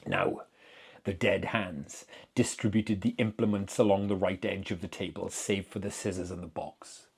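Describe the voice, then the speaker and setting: A man giving a dramatic, deliberate reading of literary horror fiction as narrator. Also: low-pitched, animated, vocal fry, darkish tone, husky.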